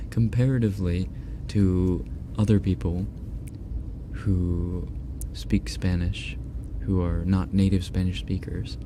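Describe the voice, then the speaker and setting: A low-voiced man hosting a relaxed ASMR livestream, talking slowly and often slipping into a whisper. He is chatty and a little self-deprecating. A steady hiss sits under the binaural recording. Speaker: ASMR streamer. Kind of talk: casual ASMR livestream chat reading donations. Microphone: binaural microphone.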